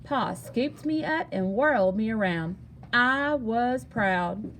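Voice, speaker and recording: High-voiced woman reading a children's story aloud. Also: expressive, slow, a bit bright, slight background noise, low-quality recording. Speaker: storybook reader.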